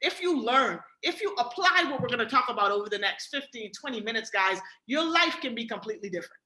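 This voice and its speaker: Bright Bahamian woman pitching on a video call. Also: quick pace, roomy sound. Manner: energetic, repeats "right?" and "guys". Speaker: webinar presenter. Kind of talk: motivational sales pitch.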